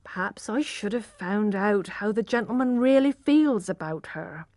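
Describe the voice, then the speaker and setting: A shrewd, scheming country mother from a storybook, voiced by a woman reader. She sounds plain and sly, close to an everyday voice at medium pitch. The sound is dry and close. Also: measured pace, a little mellow, no room sound, natural voice.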